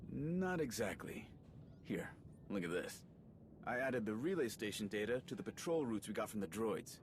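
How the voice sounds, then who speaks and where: A man's voice: fairly low pitch, expressive, moderate pace, a little hiss or hum, lightly acted. A man acting a chatty, friendly male soldier and slicer in a video game cutscene, casual and wry with rambling asides. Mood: eager, explaining.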